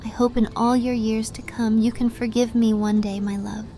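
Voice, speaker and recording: A woman's voice: fairly high pitch, flat delivery, normal speed, mellow, faint music behind, natural voice. A woman playing a tender, resigned young witch princess in an audio drama, pleading in half-whispers. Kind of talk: dramatic audio fiction acting.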